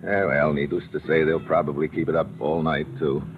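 Very deep-voiced, wry American adventurer. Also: male voice, brisk. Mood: wry, uneasy. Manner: wry, sardonic, deadpan. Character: tough, wry American adventurer hero in the jungle. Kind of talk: old-time radio drama acting and narration. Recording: muffled, noisy old radio recording.

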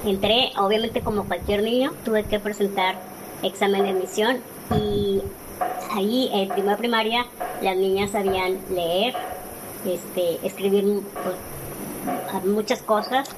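Mexican woman in her 40s, interview guest telling her story, mid pitch, pretty noisy background ambience. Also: steady tone, a little slow. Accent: Mexican Spanish. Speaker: interview guest, author and speaker. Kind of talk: conversational personal storytelling.

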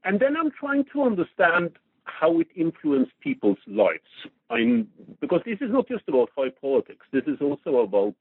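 A man's voice: fairly high pitch, huge pitch swings, moderate pace, breathy edge, dry recording. Norwegian-raised man on a tinny phone line. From Norway. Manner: hesitant, repeats words while thinking. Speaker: historian, interview guest. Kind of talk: thoughtful interview answers.

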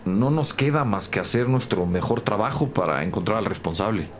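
An actor playing an earnest, serious police investigator in an audio drama. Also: male voice, on the low side, expressive, fairly quick, close to an everyday voice. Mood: serious, determined. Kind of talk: Spanish-language audio drama acting. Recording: clear background noise.

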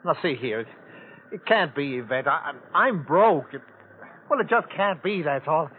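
An actor playing an uneasy, evasive bridegroom in a vintage radio crime drama, speaking haltingly with stammers. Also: male voice, a little high, lively intonation, natural voice. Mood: flustered, pleading. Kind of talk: 1940s-50s radio drama acting. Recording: phone-like vintage radio, faint noise.

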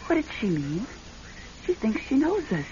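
A woman's voice: a little high, huge pitch swings, normal speed, dark tone, a little hiss or hum. An actress playing a reserved, polite divorced career woman who also narrates her own story in a classic radio drama style. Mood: puzzled, light. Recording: dull, lo-fi old radio recording.

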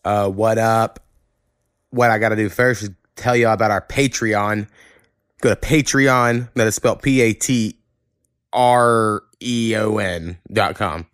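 A man's voice: lowish voice, steady tone, unhurried, fairly bright tone, clean tone, no room sound, clean studio sound. Laid-back American man hosting a podcast. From Oklahoma, United States. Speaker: podcast host. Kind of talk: casual podcast chat.

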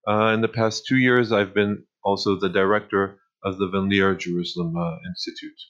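Man with a light Israeli accent, low-pitched. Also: steady tone, a bit bright, highs cut off. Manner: thoughtful, hesitant with pauses. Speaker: guest scholar. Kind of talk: interview answers.

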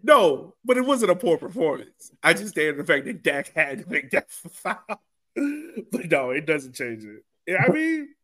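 A man's voice: on the high side, big pitch swings, moderate pace, fairly bright tone. A man hosting a soccer podcast, talking casually in a rambling way and often repeating himself. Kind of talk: casual sports discussion.

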